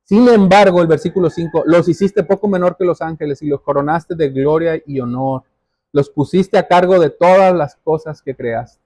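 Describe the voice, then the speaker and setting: A man's voice: a little high, moderate pace, dark tone, no echo. A man preaching a church sermon, reading scripture and exhorting, repeating phrases for emphasis.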